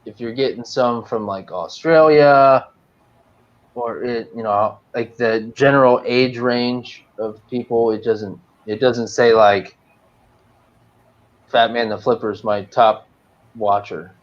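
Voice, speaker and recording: A man in his late twenties chatting on a livestream, halting and full of fillers, laughing easily. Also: mid-range voice, fairly even intonation, highs cut off. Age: 20s. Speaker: livestream co-host. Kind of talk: casual livestream chat.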